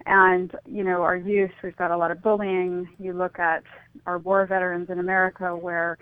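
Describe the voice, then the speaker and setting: A woman's voice: on the low side, little pitch movement, moderate pace, clean tone, no room sound. Tentative woman on a tinny phone line. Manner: tentative, searching for words. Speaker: interview guest. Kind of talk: thoughtful conversational interview.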